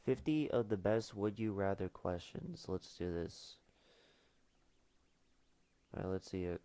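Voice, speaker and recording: A man's voice: sits low, expressive, measured pace, mellow, clean tone, dry recording. A teenage boy streaming casually, mumbling and trailing off with fillers as he reads questions aloud. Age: teens. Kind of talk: casual livestream chat.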